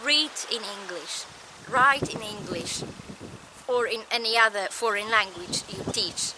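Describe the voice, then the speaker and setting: A woman with a Polish accent, a native Polish speaker, giving a recorded lecture in slow, measured, short phrases. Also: medium pitch, background noise.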